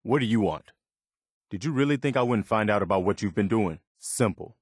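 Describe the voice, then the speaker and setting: Principled militia officer, video game companion, lowish pitch, sincere, plain-spoken, morally firm, dry studio sound. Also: male voice, lively intonation, a little fast, husky, no echo. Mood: disappointed, firm. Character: earnest, principled militia officer, loyal but easily disappointed.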